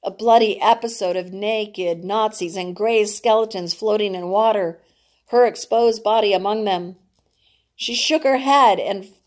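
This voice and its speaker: A woman reading a novel aloud as its author, in a deliberate, dramatic narrating style, sometimes stumbling over words. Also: on the high side, a little mellow.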